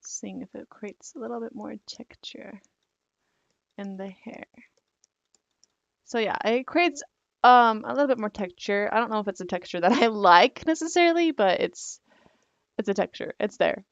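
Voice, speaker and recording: A young woman talks through a digital drawing tutorial in a rambling, filler-filled way. Her voice is gravelly and croaky because she is losing it. The recording is dry and a little dull, like a home desk mic. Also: mid-range voice, lively intonation, normal speed, pauses often, no echo. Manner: rambling, filler-heavy, apologetic. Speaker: digital art tutorial host.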